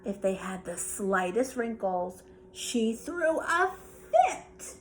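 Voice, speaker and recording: Slow, high-voiced woman reading a story. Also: very animated, slight background noise. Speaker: storybook reader. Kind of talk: expressive children's bedtime story narration.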